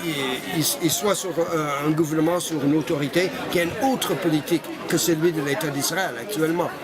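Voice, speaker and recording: Older man from Canada, hesitant, slightly high voice, street interview amid a crowd. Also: fairly even intonation, a little fast. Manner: hesitant, many fillers and restarts. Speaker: interviewee, association founder. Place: outdoors in a busy street. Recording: loud street crowd behind. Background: crowd noise.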